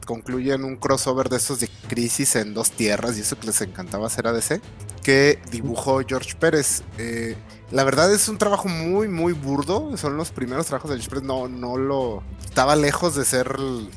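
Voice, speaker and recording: Hesitant Mexican man recalling comics. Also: medium pitch. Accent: Mexican Spanish. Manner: hesitant, many "eh" fillers, slow recollection. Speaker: podcast panelist. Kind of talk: casual comic-book chat.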